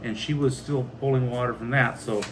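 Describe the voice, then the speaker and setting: A man's voice: medium pitch, a little fast, a crowd in the background, rough recording. An American man hosting a homestead vlog, chatting casually and reading out viewer comments. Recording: steady background noise.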